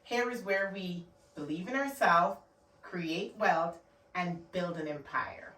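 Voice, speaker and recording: A woman's voice: fairly low pitch, slow pace, fairly bright tone, echoey room, far from the mic, rough recording. A woman with a Guyanese accent giving an emphatic motivational talk, repeating her key words for stress. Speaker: motivational vlogger.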